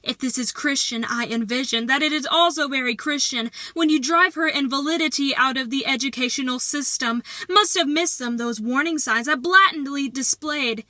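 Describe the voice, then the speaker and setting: A woman performing slam poetry as a poet, with dramatic, deliberate delivery and emphatic phrasing. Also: high voice, bright, forceful tone, no room sound.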